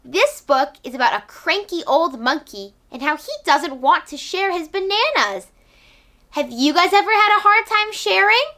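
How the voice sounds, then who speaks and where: A woman's voice: very high voice, moderate pace. A woman hosting a children's read-aloud, narrating a storybook expressively and speaking directly to young listeners. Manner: animated, addressing young children.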